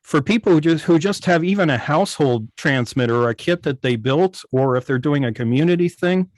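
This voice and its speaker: A man explaining his work informally on a video call, in a halting, detailed way. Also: fairly high pitch, animated, no room sound, studio quality. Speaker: guest, radio station operator. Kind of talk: informal explanation in an online club meeting.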